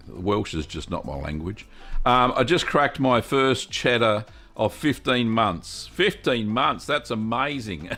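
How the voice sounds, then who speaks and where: A middle-aged man hosting a casual cheese-making livestream, chatty and upbeat, reading out viewer comments and filling pauses with ums. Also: medium pitch, fairly bright tone. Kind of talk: casual live-stream chat.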